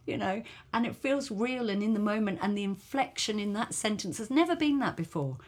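A woman's voice: mid-range voice, animated, fairly quick, a little mellow. A woman storyteller talking casually and thoughtfully on a podcast, often affirming the others. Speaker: storyteller guest.

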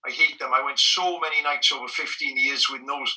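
A man's voice: mid-range voice, fairly quick, recorded from a distance, poor audio. A middle-aged Welsh man, a native Welsh speaker, telling frank anecdotes with lots of restarts as a guest in a conversational interview. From Wales. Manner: frank, animated storyteller with frequent restarts. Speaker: guest, former bird protection officer.

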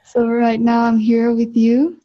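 A woman interpreting a guest's words in short consecutive chunks, with an even, plain delivery. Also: on the high side, slow pace. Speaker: interpreter into English. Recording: muffled, dull sound.

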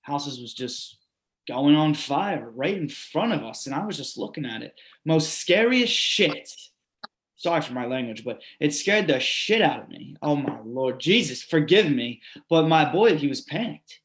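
A man's voice: a little high, animated, measured pace, fairly bright tone, roomy sound. An actor playing a longtime male resident who recounts the storm fires in an earthy, emphatic way, swearing and then apologizing. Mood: shaken. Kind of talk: readers theatre monologue.

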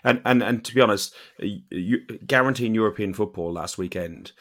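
A man with a slightly hoarse, lowish voice talks football in a casual fan chat. He jumps in eagerly with stammered restarts. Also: moderate pace, fairly bright tone. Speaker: fan panel guest.

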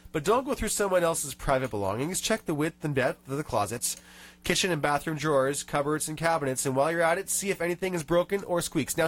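Man with a stuffy cold hosting radio. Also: a little high. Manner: chatty, ranting, reads tips aloud. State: nasal from a cold. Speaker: radio show host. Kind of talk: casual radio chat. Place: radio studio.

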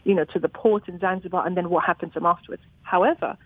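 A woman's voice: on the low side, steady tone, quick pace, dry recording. A woman novelist who grew up in Zimbabwe, talking animatedly about her books in an interview, often restarting her sentences. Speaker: novelist guest. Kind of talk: interview conversation. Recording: dry, phone-like line.

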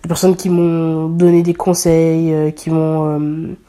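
A young woman hosting her own podcast, talking earnestly in a halting way with many fillers and restarts, a little stuffy from a slight cold. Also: sits low, little pitch movement, dark tone. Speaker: podcast host. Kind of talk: casual solo podcast talk.

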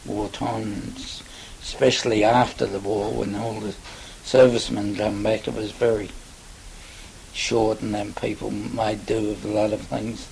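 An elderly man with an Australian accent recalls the past in a halting, rambling manner. His voice is fairly low and very even in pitch, with slow phrasing. There is a faint hiss on the recording. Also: vocal fry, poor audio. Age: older, 70s or above. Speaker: interviewee recalling local history. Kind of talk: oral history reminiscence.

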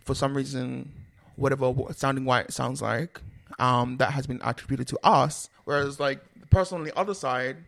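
A man in a casual podcast debate, calmly weighing the different sides of an issue. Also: medium pitch, gravelly. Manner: measured, weighs both sides.

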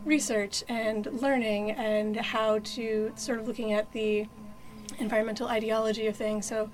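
Thoughtful woman from New Hampshire, slightly high voice. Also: flat delivery, moderate pace, low-quality recording. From New Hampshire, USA. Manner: thoughtful, many fillers and restarts, self-deprecating humor. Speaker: sustainability outreach coordinator, guest. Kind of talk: conversational interview answers.

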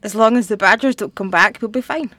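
A young woman playing a dry, wary teenage girl who is new at school, deadpan, in a comic audio drama. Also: medium pitch, a little fast, no room sound, close to an everyday voice. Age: young adult. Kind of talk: light comedic audio drama dialogue.

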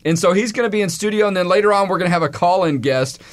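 A man's voice: high-pitched, rapid-fire. A man hosting a studio talk show, speaking in a friendly, chatty, folksy way into a studio mic. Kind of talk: casual studio interview. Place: radio studio.

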